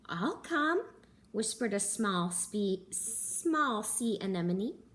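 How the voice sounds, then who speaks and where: A young woman, a teacher, reading a picture book aloud to children at home in a gentle, animated storytelling manner. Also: mid-range voice, takes it slowly. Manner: gentle, animated storytelling with gasps and character voices. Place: living room at home.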